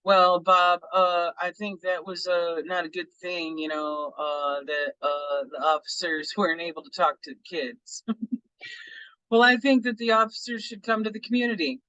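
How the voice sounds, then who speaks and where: A man reading a memoir aloud for a book club, in a relaxed and appreciative way. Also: sits high, normal speed, clean tone, no echo, highs cut off.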